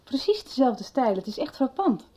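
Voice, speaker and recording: An actress with a husky voice playing an earnest, opinionated woman in a conversational radio soap. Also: sits high, sing-song, normal speed, dark tone, exaggerated voice. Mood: earnest, admiring. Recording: dull 1990s radio recording.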